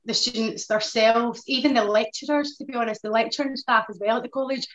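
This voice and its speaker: A woman's voice: on the high side, fairly even intonation, fairly quick. A Scottish woman in her thirties with a Glasgow accent, a guest chatting enthusiastically on a video call from her living room. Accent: Glaswegian Scottish. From Scotland. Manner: upbeat, warm laughter, enthusiastic. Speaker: guest, hair colour director. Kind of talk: casual video-call interview. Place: living room with sofa, indoors. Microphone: video call laptop. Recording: dull lo-fi video-call audio.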